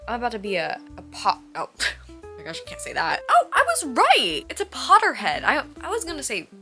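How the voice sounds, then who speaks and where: A young adult American woman chatting casually in a reading vlog, with an expressive, gushing fangirl delivery and frequent use of "like". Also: on the high side, brisk, faint music behind. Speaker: book vlogger.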